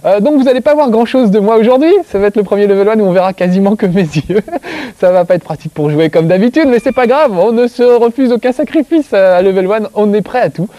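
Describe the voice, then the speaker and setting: A man's voice: sits high, expressive, fast, dark tone, no room sound, muffled recording. Joking French-speaking man with a Corsican accent. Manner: joking, self-mocking, chatty. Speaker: video game show host. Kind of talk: casual gameplay commentary to camera. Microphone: close framing, tight on face.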